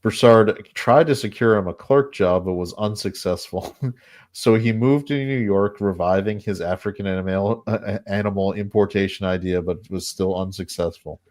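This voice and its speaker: Male podcast host, lowish voice, relaxed storyteller. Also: moderate pace. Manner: relaxed, laughs often while retelling. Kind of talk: casual storytelling with banter.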